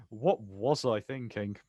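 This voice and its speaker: A man's voice: mid-range voice, slow, warm tone, husky, muffled recording. Hesitant, wry male poet, played by an actor. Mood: warm, wry. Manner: questioning, stammering when unsure. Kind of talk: stage play acting, quiet two-hander.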